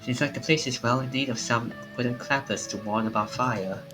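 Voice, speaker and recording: A man's voice: mid-range voice, a little fast, rough recording. A man reading visual novel dialogue aloud in a theatrical way as he streams a game. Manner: acts out the lines theatrically. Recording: game music behind the voice. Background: video game music.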